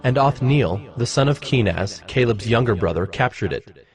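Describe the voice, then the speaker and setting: A man narrating scripture from a script in a measured, formal manner. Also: medium pitch, expressive. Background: music.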